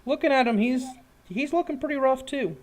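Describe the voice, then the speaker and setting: A man's voice: very high voice, brisk. A man narrating a tabletop fantasy game as game master, describing action in a conversational, improvised way. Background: background music.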